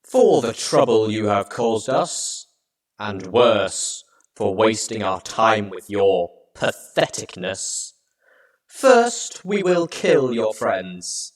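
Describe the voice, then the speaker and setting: A man's voice: fairly low pitch, big pitch swings, slow. A man voicing a jaded, taunting winged unicorn mare villain with a grand, mocking drawl. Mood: cruel, haughty.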